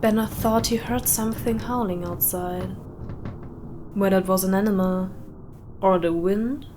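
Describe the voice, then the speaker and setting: A woman narrates an atmospheric short story at a slow, measured pace. She leaves dramatic pauses between phrases. Her voice has a middle pitch and sits over clearly audible howling wind ambience. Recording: windy howling ambience behind voice. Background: added wind or howling ambience.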